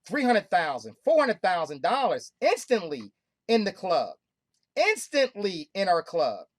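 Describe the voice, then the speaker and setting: A woman hosting a group call, pitching hard with emphatic, repetitive, preaching talk. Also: wildly swooping pitch, unhurried, no room sound, clean studio sound. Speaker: host of a money club call.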